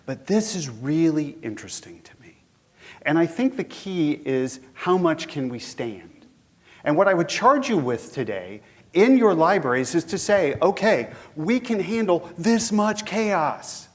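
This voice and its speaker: A man's voice: a little high, very animated, measured pace. A man with a head cold, sounding a little sniffly, giving a conversational conference lecture and putting questions to the audience. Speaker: guest lecturer.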